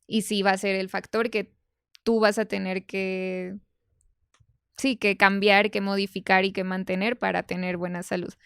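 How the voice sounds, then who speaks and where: A woman's voice: medium pitch, level tone, normal speed, gravelly, smooth, no room sound, studio quality. A woman giving conversational explanations as an expert guest, emphatic and didactic, going point by point. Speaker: podcast guest, nutrition expert.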